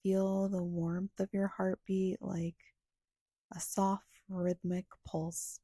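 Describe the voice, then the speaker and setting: A woman leads a guided meditation in a soft, husky, breathy voice that often slips toward a whisper. She speaks very slowly and evenly, with long pauses between short, soothing phrases. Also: mid-range voice, flat delivery, dry recording, studio quality.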